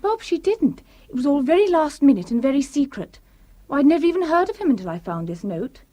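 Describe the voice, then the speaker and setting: A breezy young British flatmate in a radio play. Her voice is high and very animated. She talks briskly and candidly, with a defensive edge. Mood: defensive, exasperated. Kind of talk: radio drama acting.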